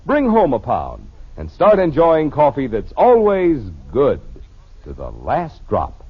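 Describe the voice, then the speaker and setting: An American man announcing a vintage radio advertisement, with a husky voice and a polished, emphatic sales delivery. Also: fairly high pitch, huge pitch swings, takes it slowly, faint music behind. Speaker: commercial announcer. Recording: dull vintage radio recording.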